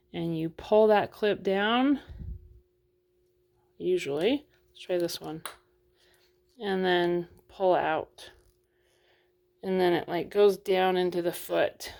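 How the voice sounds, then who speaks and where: A woman's voice: medium pitch, vocal fry. A woman chatting casually through a doll unboxing review, frank and full of fillers, while losing her voice.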